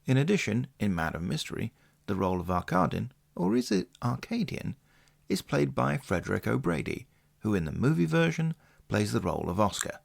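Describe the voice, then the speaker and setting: A man's voice: mid-range voice, very animated, fairly quick, gravelly. A man hosting a podcast, reading scripted narration in a bookish, precise way. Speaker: podcast host.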